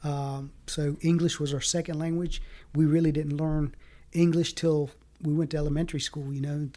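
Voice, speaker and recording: Higher-pitched man, halting and earnest. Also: flat delivery, mellow. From Fayetteville, North Carolina. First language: Greek. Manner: earnest, halting, many fillers. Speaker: city council candidate. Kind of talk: casual interview talk.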